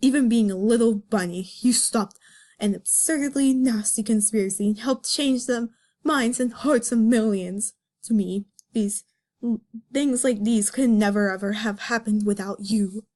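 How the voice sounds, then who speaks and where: A woman's voice: fairly high pitch, unhurried, mellow. A woman voicing an earnest, wounded male cartoon fox with a solemn, theatrical delivery in a comic reading. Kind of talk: melodramatic comic dramatic reading.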